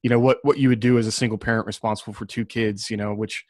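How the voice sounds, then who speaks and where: A man speaking as an author on a casual discussion panel, reflective and halting as he thinks aloud. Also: lowish voice, steady tone, no echo, studio quality.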